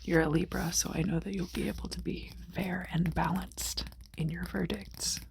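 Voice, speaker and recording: A woman with a soft, whispery voice, chatting casually in a soothing, unhurried way as the host of an ASMR tarot reading. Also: lowish voice, little pitch movement, gravelly, background noise. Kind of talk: ASMR, rambling casual chat.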